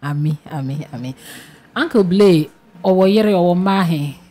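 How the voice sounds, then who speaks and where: Young female interviewer, Ghanaian accent, soft-edged mellow tone, casual studio conversation. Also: fairly low pitch, moderate pace. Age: young adult. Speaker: radio host, interviewer. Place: small radio studio. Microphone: studio microphone on boom arm.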